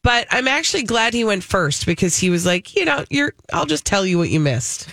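A woman's voice: medium pitch, big pitch swings, brisk, a bit bright, dry recording. An American woman co-hosting a radio show, telling stories in a casual, chatty and emphatic way. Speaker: fill-in radio co-host.

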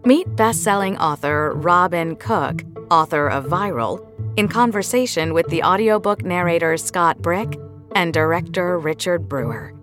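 A woman's voice: lowish voice, a little slow. A woman reading a scripted podcast introduction as the announcer. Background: clear music bed.

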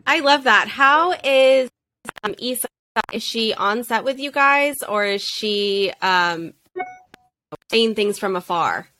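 A woman's voice: fairly high pitch, slow, bright, forceful tone. A woman hosting an interview, asking questions and offering short encouraging responses. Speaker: podcast host.

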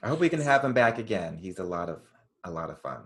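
Male podcast co-host, lowish voice, relaxed casual chat. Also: fast talker, husky.

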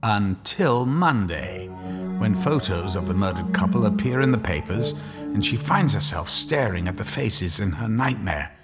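A man's voice: fairly low pitch, lively intonation, measured pace, over loud music. A man narrating a scripted audio drama in a storytelling style.

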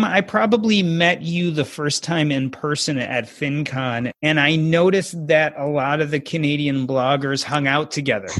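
A man's voice: a little high, creaky, a bit bright, no echo. A man hosting a podcast, asking his guests conversational, leading questions. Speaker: podcast host interviewing guests.

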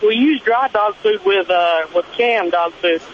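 High-voiced, hesitant male caller. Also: measured pace. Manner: hesitant, polite. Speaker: caller, truck driver. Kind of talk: casual, halting question to a radio show. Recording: dull, hissy call with faint noise.

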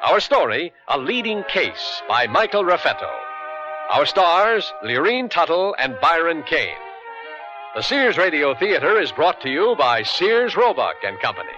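A man's voice: fairly high pitch, very animated, unhurried, pushed and bright. A man announcing a radio drama program in scripted broadcast style. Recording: muffled vintage radio, music behind.

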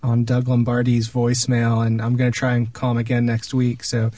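Close, dry-sounding man hosting, halting delivery. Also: mid-range voice, completely flat delivery, dark tone, dry recording. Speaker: podcast host. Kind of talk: casual chat.

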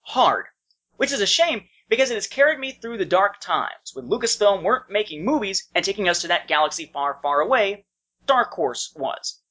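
A man's voice: sits high, big pitch swings, moderate pace, bright, forceful tone. A man co-hosting a podcast, talking casually and reading out listener feedback. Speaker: podcast co-host. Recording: dull lo-fi podcast audio.

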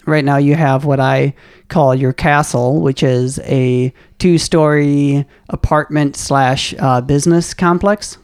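A man's voice: fairly high pitch, steady tone, clean tone, dry recording, clean studio sound. Chuckling man hosting a podcast. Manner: laughs often, halting with fillers. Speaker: podcast host. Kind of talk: casual interview.

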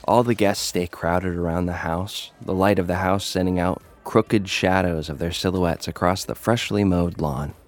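A man's voice: deep voice, fairly even intonation, normal speed, few pauses, close to an everyday voice. An actor playing Danny, a cocky, jaded young American influencer who fights for his followers, narrating an audio drama in a wry, conversational way. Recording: music bed behind the voice.

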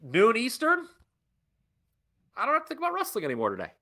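A young adult man co-hosting a podcast, ranting with animated disbelief in casual chat. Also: very high voice, fast talker. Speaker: podcast co-host. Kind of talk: casual wrestling review chat.

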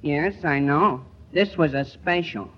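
A man playing a deadpan laundry man. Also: a little high, unhurried, close to an everyday voice. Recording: hissy, dull old radio recording.

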